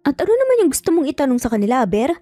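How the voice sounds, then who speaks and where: A woman's voice: high voice, big pitch swings, rapid-fire, warm tone, no room sound, clean studio sound, faint music behind, voice pushed up. A woman voicing a protective, angry mother in a dramatic story, with an emphatic, confrontational manner.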